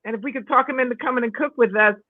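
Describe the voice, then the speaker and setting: A woman's voice: a little high, rapid-fire, no room sound. A woman from the Bronx co-hosting a cooking show, chatty and jokey in casual talk over a video call. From the Bronx, New York. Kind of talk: casual chat. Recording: tinny phone-like line.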